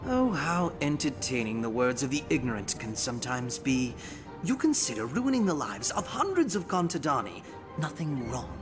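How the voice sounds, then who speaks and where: An actor playing a sardonic, smug assassin in a fantasy video game, with florid, theatrical, mocking phrasing. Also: male voice, mid-range voice, very animated, normal speed, close to an everyday voice. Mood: mocking menace. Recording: loud music behind the voice.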